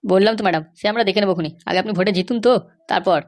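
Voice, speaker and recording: A woman's voice: mid-range voice, racing, lightly acted. A woman voicing a stubborn, bribe-seeking police officer in a comedy cartoon, insistent, lecturing and dismissive. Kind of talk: Bengali comedy cartoon dialogue.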